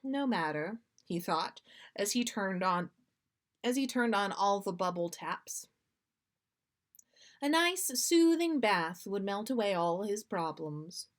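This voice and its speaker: A woman's voice: medium pitch, expressive, slow, long pauses. A woman narrating a story aloud as a podcast host, with dramatic, playful expression. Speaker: podcast host reading listener stories.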